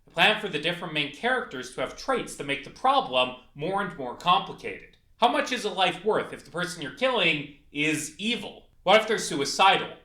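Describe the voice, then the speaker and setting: Animated man narrating in an echoey room. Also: high-pitched, normal speed, hardly any pauses. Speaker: indie game creator presenting a project. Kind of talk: scripted video essay narration.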